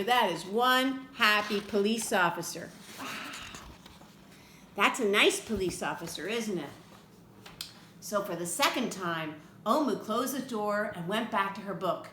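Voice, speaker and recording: A woman reading a picture book aloud to children in an expressive way, with chatty asides to her young listeners. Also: on the high side. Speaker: read-aloud storyteller for children. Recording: roomy echo with faint background noise.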